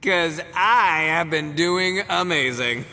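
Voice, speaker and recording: An actor voicing a boastful, rowdy male oni gang boss in a video game, brash and quick to laugh. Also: fairly high pitch, animated, exaggerated voice. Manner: brash, loud, laughs a lot. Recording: game audio with long reverb.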